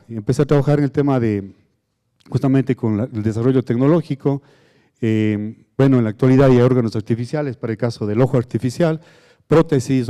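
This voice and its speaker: A man from southern Ecuador with a Cuenca accent, giving a conference lecture on biomedical engineering, halting, with many fillers and restarts. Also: medium pitch, dark tone. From Azuay, southern Ecuador.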